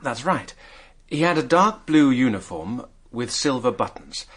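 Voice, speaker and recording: Down-at-heel British drinker in a radio mystery: mid-pitched, lively intonation, wry, rueful, hesitant. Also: male voice, measured pace. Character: hard-up, self-mocking male drinker under questioning. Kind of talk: British radio drama acting.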